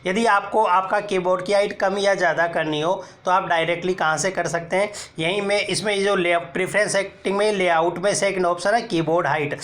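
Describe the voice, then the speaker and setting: A man's voice: high-pitched, fairly even intonation, brisk, few pauses, fairly bright tone. A man explaining phone keyboard settings step by step, as a tutorial presenter who keeps calling viewers friends and pointing out each screen. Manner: step-by-step, addresses viewers as friends, repeats "look here". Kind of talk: screen-recorded how-to explanation.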